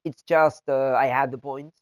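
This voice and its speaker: A man with a nasal, flu-congested voice, speaking English as a second language, gives a casual video game tutorial that is halting and full of fillers and restarts. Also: medium pitch, steady tone, dry recording. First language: not English. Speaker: video game content creator. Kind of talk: casual gameplay tutorial talk.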